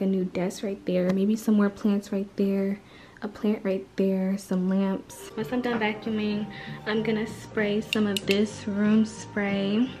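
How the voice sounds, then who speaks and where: A teenage girl chats straight to her viewers while tidying her room, now and then sinking into a whisper. Her voice sits a little high, recorded on a handheld camera held close. A faint hiss runs under it. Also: dark tone, poor audio. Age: teens. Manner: chatty, talks straight to viewers, often drops to a whisper. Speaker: vlogger. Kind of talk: casual day-in-the-life vlog. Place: bedroom and kitchen at home, indoors. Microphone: handheld camera close to face.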